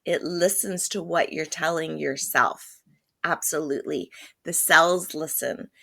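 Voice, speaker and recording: Woman healer talking slow, gravelly and bright, leans hard on her words. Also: deep voice. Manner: emphatic, repeats key phrases. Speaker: holistic healer guest. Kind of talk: conversational interview.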